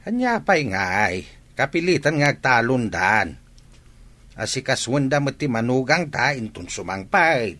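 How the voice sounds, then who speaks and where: A man's voice: medium pitch, big pitch swings, a little slow, pushed and bright. A man with an Ilocano Filipino accent playing a blunt, grumbling village husband in a radio drama. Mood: resigned, blunt.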